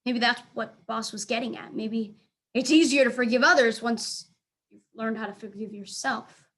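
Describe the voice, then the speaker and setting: Woman, somewhat high voice, teacher, expressive children's storybook read-aloud. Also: moderate pace. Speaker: teacher reading aloud for elementary students.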